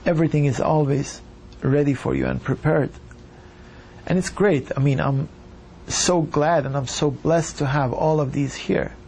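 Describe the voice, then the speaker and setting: A doctor from Iran telling his story in an earnest, measured way. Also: a little high, steady tone, creaky, a little mellow, slight background noise. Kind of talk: reflective personal account.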